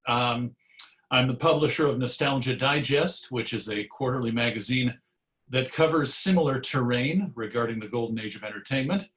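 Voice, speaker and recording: A man with a medium-pitched voice speaks as a guest in a conversational interview. He is reflective and measured, often pausing mid-thought before carrying on. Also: a bit bright, low-quality recording. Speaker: interview guest, radio show host. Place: recorded virtual session.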